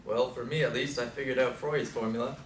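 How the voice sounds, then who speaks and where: A man's voice: mid-range voice, steady tone, fairly quick, close to an everyday voice. In an echoing space, a man plays an aging Beat theorizer, skeptical and analytical. His mid-range voice declaims from some distance, as in a stage drama. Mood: musing. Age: older. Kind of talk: stage play dialogue. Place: live stage performance recorded from a distance. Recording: echoey, distant mic, faint noise.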